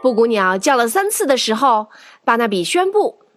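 A woman narrating a children's audio drama in an expressive storytelling manner, with music behind her. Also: a little high, moderate pace, no echo, studio quality.

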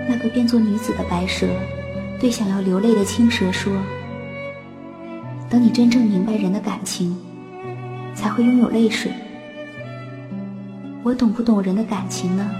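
A woman playing a wistful, lovesick young sock with a soft, dreamy, tender manner in a Chinese radio drama. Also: mid-range voice, roomy sound, natural voice. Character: wistful young female left-foot sock, lovesick and innocent. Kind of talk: Chinese radio drama acting with inner monologue.

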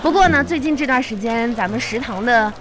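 A woman playing a polite, composed company manager in a radio comedy sketch, speaking briskly and patiently. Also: a little high, lively intonation, over music, lightly acted. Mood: composed, official. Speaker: radio comedy show host.

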